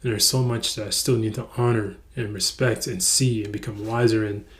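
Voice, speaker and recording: A man's voice: on the low side, fairly even intonation, fairly quick. A young man from the United States, talking earnestly in a reflective personal vlog and stumbling over words with frequent repeats. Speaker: vlogger.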